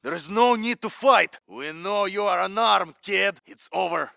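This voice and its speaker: A needling, cocky soldier for a military game. The voice is high for a man and tense, swinging freely in pitch. It is recorded close and dry. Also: a little slow, vocal fry, sharp tone, no room sound, exaggerated voice. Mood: stern, coaxing. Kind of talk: video game dialogue.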